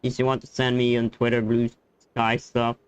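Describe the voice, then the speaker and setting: A man's voice: mid-range voice, monotone, normal speed, clear, resonant tone, no room sound, highs cut off. A man chatting casually as a game streamer, hesitant and rambling, often repeating words. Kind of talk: casual live-stream commentary and chat.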